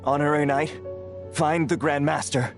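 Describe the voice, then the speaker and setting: Man playing a blunt, higher-voiced knight. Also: steady tone, moderate pace, over music, close to an everyday voice. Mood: blunt, urgent. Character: gruff, teasing male knight comrade. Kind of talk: video game voice acting.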